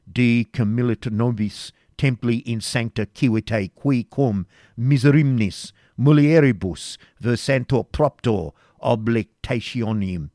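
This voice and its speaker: A man voicing a stern elderly Grand Master of a knightly order, who speaks slowly and emphatically in a severe, commanding tone. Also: mid-range voice, darkish tone, no room sound, clean studio sound, pitched above the performer's own voice, lightly acted.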